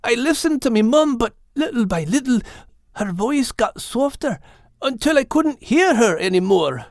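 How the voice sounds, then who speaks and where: A man voicing a frightened, grieving young male puppy in an audiobook, with a plaintive, halting delivery. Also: very high-pitched, very animated, dry recording, clean studio sound, voice pushed up, full character voice. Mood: tearful, mournful. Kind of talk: audiobook character voice.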